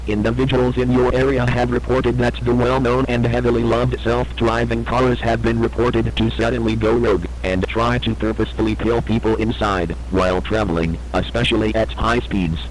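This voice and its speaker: Male emergency alert announcer, acted, mid-pitched, formal and grave, reading scripted warnings over a faint hum. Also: flat delivery, moderate pace, hardly any pauses, natural voice, rough recording. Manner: formal, solemn.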